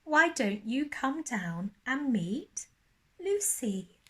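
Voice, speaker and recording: A woman's voice: sits high, big pitch swings, drawn-out words, husky, pitched above the performer's own voice, full character voice. Woman reading as a cheerful, sheepish male park keeper. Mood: amused. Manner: chuckling, gently hesitant. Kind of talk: children's storybook character voice.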